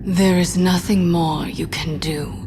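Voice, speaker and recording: A woman's voice: on the low side, fairly even intonation, takes it slowly, noisy background. A woman playing a haughty, menacing villainess of darkness in a video game, speaking in a grandiose, taunting manner. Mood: ominous disdain. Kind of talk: scripted video game drama acting. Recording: music under the voice.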